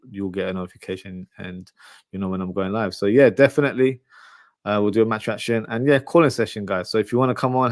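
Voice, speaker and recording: A man's voice: on the low side, a little mellow, no echo, studio quality. A man who speaks Urdu at home, hosting a casual live football preview and talking informally and directly to his viewers. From Pakistani family from Lahore. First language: Urdu spoken at home. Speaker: football podcast host. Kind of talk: casual live chat, match preview and reading comments.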